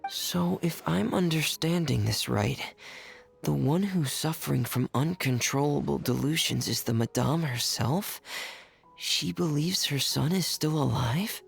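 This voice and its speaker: A man playing a calm, analytical young traveller in a video game, husky and creaky, thoughtful and deliberate. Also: on the high side, soft background music. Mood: pensive.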